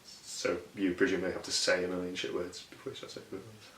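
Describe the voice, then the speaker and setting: Low, bright-voiced man in an echoey room. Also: flat delivery, fast, a little hiss or hum. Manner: informal and sweary. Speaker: writer being interviewed. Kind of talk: casual interview talk.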